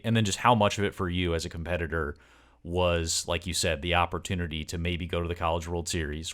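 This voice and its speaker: A man with a low, deep voice hosts a sports interview podcast. He speaks conversationally and at length, building up wordy questions piece by piece. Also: a bit bright. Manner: wordy, long multi-part questions.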